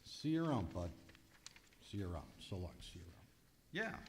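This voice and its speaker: A man's voice: low voice, big pitch swings, moderate pace, a little mellow. A man playing a furtive, chummy racetrack tout in old-time radio comedy style. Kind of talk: old-time radio comedy acting, live stage revue. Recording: echoey noisy hall, live stage.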